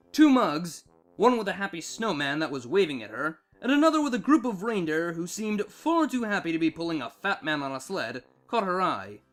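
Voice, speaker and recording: A man narrating a fan fiction story in an expressive, scripted reading style. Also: high-pitched.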